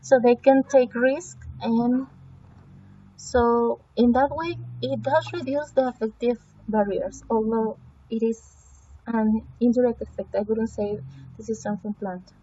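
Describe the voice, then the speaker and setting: A woman's voice: fairly high pitch, steady tone, unhurried, dark tone, highs cut off, a little hiss or hum. A woman whose first language is Spanish presents a website evaluation, talking through it hesitantly with many fillers and self-corrections. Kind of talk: semi-scripted spoken evaluation.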